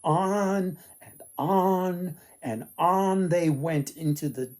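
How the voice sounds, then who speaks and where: Slow, mellow male storyteller. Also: high voice. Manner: deliberate phrasing with dramatic emphasis and pauses. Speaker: author and storyteller. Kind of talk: storybook reading for children.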